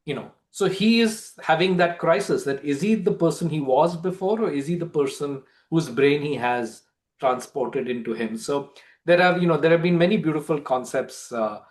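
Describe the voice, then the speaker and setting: A man's voice: a little high, lively intonation, moderate pace. A man with an Indian accent in an informal book club discussion, explaining and often rephrasing as he goes.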